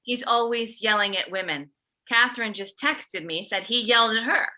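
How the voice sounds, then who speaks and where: A woman's voice: a little high, lively intonation, moderate pace, pushed and bright. A woman playing a chiding, oblivious mother of a grown son in a comedy script read. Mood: scolding. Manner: chiding, emphatic.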